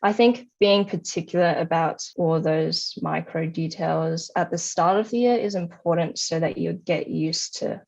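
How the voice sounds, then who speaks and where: A young woman speaks in a fairly low voice, offering conversational advice as the guest in an interview. The sound is a little dull and muffled. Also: unhurried, vocal fry, few pauses, a little mellow. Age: young adult. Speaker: interviewee, high-achieving former student.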